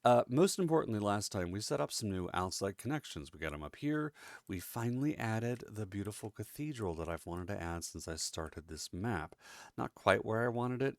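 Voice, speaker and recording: A man's voice: lowish voice, creaky, no echo, studio quality. A congested, sniffly man hosting a casual gameplay commentary, chatty and joking as he rambles along. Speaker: let's-play host.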